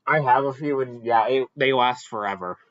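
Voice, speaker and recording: Bright-voiced man rambling casually, lo-fi audio. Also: medium pitch, dry recording. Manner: rambling, halting, self-correcting. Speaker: video host ranking products. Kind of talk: casual unscripted ranking commentary.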